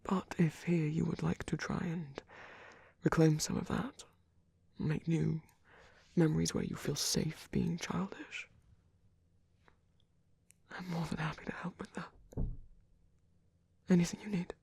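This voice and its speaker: A man voicing a caring, gentle vampire priest in an ASMR roleplay, speaking in a soothing, halting, whispery hush. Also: a little high, little pitch movement, heavily acted. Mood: tender, reassuring. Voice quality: breathy, whispery. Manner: soothing, tender, hushed and halting.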